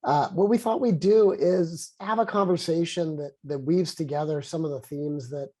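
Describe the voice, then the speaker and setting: A man's voice: on the high side, a little mellow. A man with a head cold and a congested voice, speaking earnestly on a video call as he opens a webinar conversation. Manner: earnest, halting with restarts. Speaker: sociologist and conversation co-lead.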